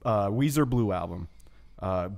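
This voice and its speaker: A man's voice: lowish voice, lively intonation, measured pace, vocal fry, a little mellow. A man co-hosting a podcast in casual chat, enthusiastic and free with swearing. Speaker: podcast co-host. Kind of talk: casual chat listing favorite albums and films.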